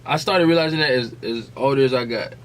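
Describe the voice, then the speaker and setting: A man's voice: medium pitch, fast, crowd noise, poor audio. A man co-hosting a podcast in a casual, slangy, protesting way, debating informally like he is among friends. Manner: protesting, informal, peppers talk with "bro" and "fam". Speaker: podcast co-host.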